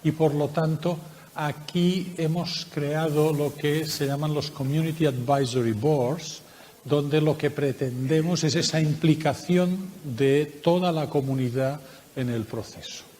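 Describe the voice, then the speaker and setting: A man with a Spanish accent from Spain, presenting a research project at a conference workshop in a measured, explanatory way with frequent hesitations. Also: on the high side, darkish tone, big-room sound, a little hiss or hum. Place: conference room.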